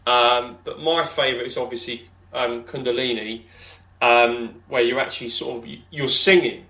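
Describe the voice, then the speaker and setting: Podcast guest, man, deep voice, loose rambling chat. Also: steady tone, moderate pace, sharp tone, poor audio. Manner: rambling, many fillers and restarts. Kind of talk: casual interview chat.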